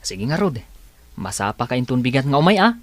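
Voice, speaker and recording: A man's voice: medium pitch, big pitch swings, brisk, natural voice. A man playing a friendly village farm worker in an Ilocano audio drama. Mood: friendly, inviting.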